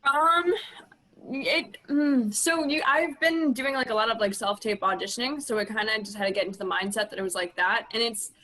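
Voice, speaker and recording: A woman's voice: fairly high pitch, fairly quick, pushed and bright, poor audio. A woman chatting informally on a video call, peppering her talk with "like". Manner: informal, frequent "like". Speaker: actress on a post-show panel. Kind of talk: casual chat. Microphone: video call microphone.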